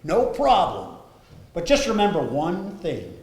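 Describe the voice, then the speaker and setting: A man's voice: high voice, huge pitch swings, unhurried, a little mellow, exaggerated voice. A man playing a skeptical, exasperated working husband in a stage play, arguing pointedly. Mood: resentful. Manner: argumentative, pointed. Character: skeptical, exasperated older working husband guarding his money. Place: indoor theatre stage. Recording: echoey theatre stage.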